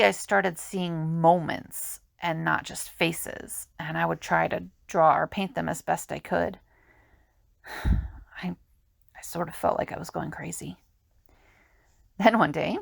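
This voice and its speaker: A woman playing an earnest, candid young visionary in an audio drama, with a halting, confiding delivery. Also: fairly low pitch, creaky, fairly bright tone, no echo, clean studio sound, full character voice. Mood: uneasy. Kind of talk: amateur audio drama acting.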